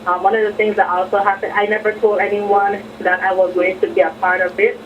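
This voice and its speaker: A woman's voice: mid-range voice, flat delivery, a little fast, far from the mic. A woman phoning in to a radio show, speaking conversationally about her experience over the telephone. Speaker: phone-in caller, amateur voice cast member. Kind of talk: conversational reflection. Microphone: phone. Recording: dull phone line, faint noise.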